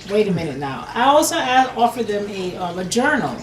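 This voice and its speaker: A woman's voice: mid-range voice, sing-song, brisk, roomy sound, a little hiss or hum. A woman from Jamaica, an herbalist, answering interview questions earnestly, often saying "per se" and restarting her sentences. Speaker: herbalist and nutrition business owner.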